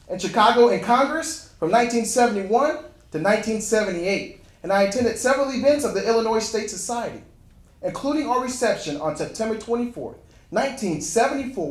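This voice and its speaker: A man playing a proud Olympic medalist and public servant in a scripted stage monologue, recited in a deliberate, formal way. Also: high-pitched. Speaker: presenter in a dramatic reading. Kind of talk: first-person scripted historical monologue. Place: indoor room at a live event. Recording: roomy, echoey live hall recording.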